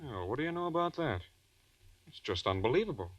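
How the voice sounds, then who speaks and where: Animated, incredulous male pal. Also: mid-range voice, brisk, no room sound, highs cut off. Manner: emphatic, disbelieving banter. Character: incredulous, bantering male friend of the accused. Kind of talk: 1940s radio acting.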